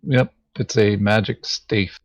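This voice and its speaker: A man with a slightly low voice talks casually through game tactics. He takes his time, speaking at a slow pace. The sound is close and dry. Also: fairly even intonation, no room sound. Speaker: board game player, co-player in a playthrough.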